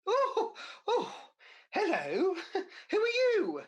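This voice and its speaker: A woman's voice: very high voice, huge pitch swings, very slow, background noise, heavily acted. A woman playing a cheeky, scatterbrained Mother Goose in a radio pantomime, exclamatory and comic. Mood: bemused, earnest. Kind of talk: pantomime radio play acting.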